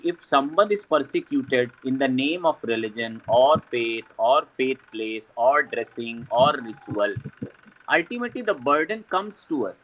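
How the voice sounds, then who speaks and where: A man's voice: medium pitch, a bit bright, poor audio. A man speaking earnestly on a video call panel, emphatic and repeating himself as he searches for words. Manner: emphatic, with frequent restarts. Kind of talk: earnest discussion talk. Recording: faint background noise.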